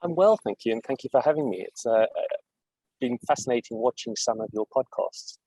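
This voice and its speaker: A middle-aged man from South Africa with a South African accent, answering interview questions in a measured way, with frequent fillers and restarts. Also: medium pitch, expressive, dark tone, slightly rough, no echo, low-quality recording. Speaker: guest executive.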